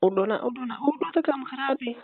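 Creaky-voiced Saraiki woman on the phone. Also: high-pitched, lively intonation, a little fast, crowd noise. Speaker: phone caller. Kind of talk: casual intimate phone chat. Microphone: telephone line. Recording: tinny phone call recording.

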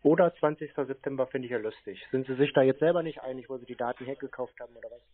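A man's voice: medium pitch, very fast. A man in a casual phone conversation, teasing and questioning the person on the other end. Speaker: prankster taking scam calls. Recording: narrow-band phone call audio.

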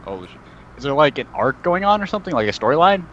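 A young man, a podcast guest chatting casually and haltingly, often repeating his words. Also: on the high side, sing-song, a bit bright. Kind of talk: casual chat. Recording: dull, slightly noisy call audio.

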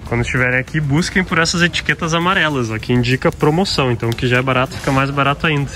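A young Brazilian man chatting casually while he films inside a big store, joking around and reading prices aloud. He speaks at an ordinary, mid-range pitch, with his handheld camera picking up the store's echo. Soft music plays underneath his voice. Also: racing. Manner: chatty, jokey, lists prices out loud. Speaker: travel vlogger. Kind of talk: casual store walkthrough vlog. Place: large indoor department store.

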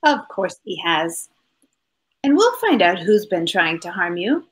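A middle-aged woman playing a witty, poised detective's wife with an arch, measured manner, in old-time radio mystery style. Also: fairly low pitch, very animated. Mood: game, breezy. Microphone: video call. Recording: faint music behind.

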